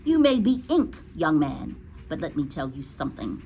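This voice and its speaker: A woman's voice: low voice, sing-song, normal speed, dark tone, highs cut off, a little hiss or hum. A woman voicing a cruel, domineering female school principal who barks insults as she reads a children's storybook. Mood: menacing. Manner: barking, insulting.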